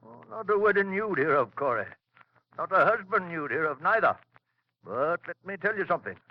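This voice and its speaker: A cryptic old country grandfather, half insane and full of boasts, talking in thick rustic dialect. His voice sits fairly high and swoops widely as he speaks. It comes through a dull, muffled old radio broadcast. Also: very animated, normal speed, no echo. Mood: sly. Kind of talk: 1940s radio drama acting.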